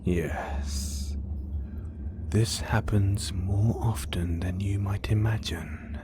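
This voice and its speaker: A man's voice: steady tone, drawn-out words, noisy background. A young man playing a possessive, theatrical supervillain in a whispered audio roleplay, speaking in an intimate, teasing way. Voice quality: low gravelly whisper.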